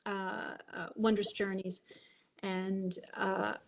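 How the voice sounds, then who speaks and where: A woman's voice: mid-range voice, flat delivery, slow pace, creaky, a little hiss or hum. A woman translator answering on a webinar panel over a video call, reflective and thinking aloud. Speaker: translator and publisher.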